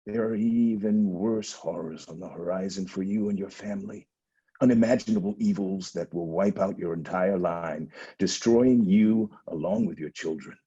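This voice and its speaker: An actor playing a stern, foreboding blind old prophet in a dramatic reading over a video call, with a low, husky, deliberate delivery. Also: male voice, dark tone. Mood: ominous prophecy. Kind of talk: Zoom dramatic reading of a Greek tragedy. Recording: dull lo-fi video call audio.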